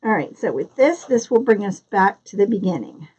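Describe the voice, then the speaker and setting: A woman's voice: medium pitch, sing-song, moderate pace, darkish tone, breathy edge, muffled recording. A woman with a Philadelphia accent hosting a live craft tutorial, chatting and greeting viewers by name as she works. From Philadelphia area, US. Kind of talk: live-stream craft demonstration.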